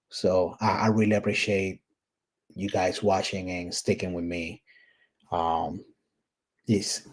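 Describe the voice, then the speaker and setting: A low-voiced man with a thick Puerto Rican accent talks through a software build. His tone is mellow and a little husky. He thinks out loud with plenty of ums and restarts, casual and candid. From Puerto Rico. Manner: casual and candid, thinking aloud with many fillers. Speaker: tech YouTuber. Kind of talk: screen-recorded coding walkthrough.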